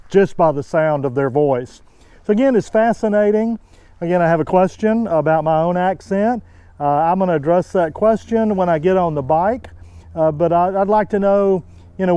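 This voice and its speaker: A man's voice: fairly high pitch, dark tone, clean tone, no room sound, highs cut off. A middle-aged man from Southeast Texas with a Texas accent, talking casually to camera as a motorcycle vlogger, drawing out his vowels in a friendly, chatty way. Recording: noisy outdoor background.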